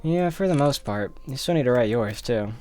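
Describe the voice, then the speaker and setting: A man's voice: medium pitch, lively intonation, normal speed, mellow, soft background music, natural voice. An earnest private investigator, voiced by a man reading aloud in a visual novel. He is a sheepish grumbler with an ordinary mid-range male voice. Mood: matter-of-fact.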